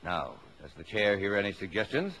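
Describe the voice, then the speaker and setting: A man's voice: on the low side, very animated, fairly quick, fairly bright tone. An American actor in a mid-century radio drama, playing a jovial, middle-aged, blustery father with an exclamatory, mock-formal way of talking. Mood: brisk, mock-formal. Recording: noisy old radio broadcast recording.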